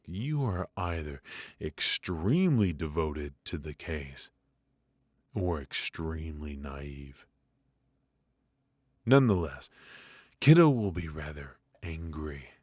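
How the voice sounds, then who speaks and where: A man playing a ruthless, smugly menacing mafia boss in a noir ASMR roleplay, speaking deliberately and theatrically with mock affection. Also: rumbling low, dry recording, clean studio sound, heavily acted. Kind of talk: ASMR roleplay, noir audio drama acting.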